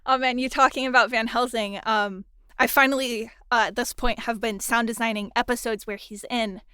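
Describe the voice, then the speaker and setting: A woman's voice: a little high, steady tone, normal speed, sharp tone, clear, resonant tone, no room sound. A woman interviewing a colleague for a podcast in a casual, conversational chat. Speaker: interviewer, podcast sound designer.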